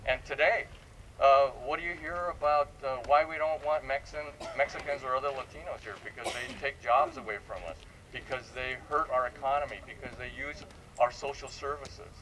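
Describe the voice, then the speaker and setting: A man's voice: medium pitch, normal speed, a bit bright. A man speaking informally as he leads a discussion with students, probing with questions and repeating words. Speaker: panel speaker leading audience discussion. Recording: distant mic, noisy room.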